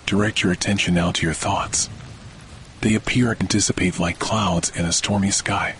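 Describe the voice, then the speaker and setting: A man guiding a scripted sleep meditation in a soothing, measured manner. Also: low voice, fairly even intonation, a bit bright, a little hiss or hum. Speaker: podcast host, meditation guide. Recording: rain and thunder behind voice. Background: rain and distant thunder.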